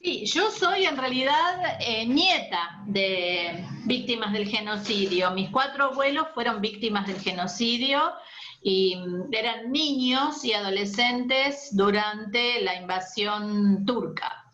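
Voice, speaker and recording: A woman from Argentina answers interview questions slowly and earnestly. She pauses often and uses fillers. Her voice is slightly high, and the recording sounds dull and lo-fi. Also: lively intonation, sharp tone, a little hiss or hum. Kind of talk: hesitant, candid interview answers. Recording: dull, lo-fi audio.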